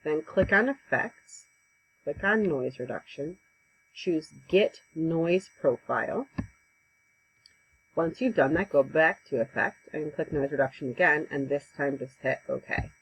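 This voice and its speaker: A woman giving a casual, conversational software tutorial over a headset mic, with frequent ums. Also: sits low, animated, a little slow, a little hiss or hum, low-quality recording. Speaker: instructor. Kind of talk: screen-recorded software tutorial.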